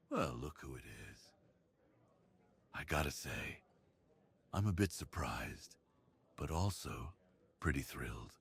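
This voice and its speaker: A big, muscular werewolf with a very deep, husky voice, played by a man. He is gentle and bashful, speaking with earnest tenderness in a romantic ASMR roleplay. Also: full character voice. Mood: pleased, tender.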